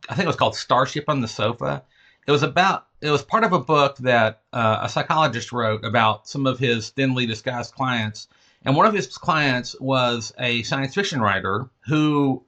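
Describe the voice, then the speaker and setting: A man with a bright, forceful voice and a lisp. He talks as an interview guest, learned and emphatic, often breaking off to restate his point. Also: mid-range voice. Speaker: interview guest, fiction writer and teacher. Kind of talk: conversational literary interview, discursive explanations.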